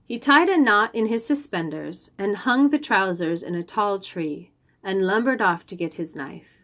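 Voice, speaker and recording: A woman reads a picture book aloud to young children. Her voice sits at a medium pitch and she goes slowly, giving key phrases deliberate, expressive weight. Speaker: storybook reader for young children. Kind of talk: children's read-aloud storytelling.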